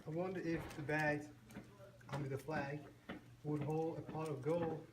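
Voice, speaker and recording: A man's voice: fairly high pitch, level tone, unhurried, close to an everyday voice. A man playing a greedy, scheming cottage sister in an amateur rhyming puppet show. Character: Gluck sister, greedy, scheming cottage woman after gold. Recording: distant mic, clearly noisy, clicks.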